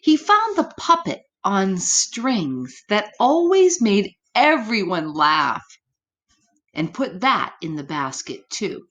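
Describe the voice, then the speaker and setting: A woman reads a picture book aloud to children. She takes her time, with an animated, varied pitch, and talks directly to her young listeners. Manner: pauses to address young listeners. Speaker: storytime reader.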